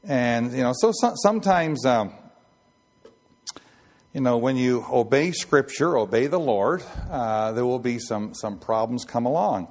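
A man in his sixties with a raspy voice, giving an informal Bible teaching and rambling with many fillers and restarts. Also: mid-range voice, animated, measured pace, a little mellow. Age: 60s. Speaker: ship chaplain preacher.

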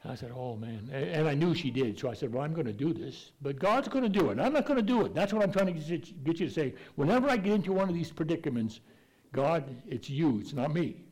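A man's voice: on the high side, expressive, fast, darkish tone, poor audio. A man preaching a conversational Bible teaching, folksy and plainspoken, with an occasional stutter and restarts.

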